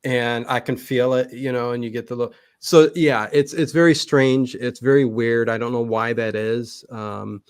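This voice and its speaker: A man's voice: mid-range voice, steady tone, moderate pace, few pauses, clean tone, no echo. Man, interview guest, casual chat, hesitant, rambling, repeated words, dry close sound.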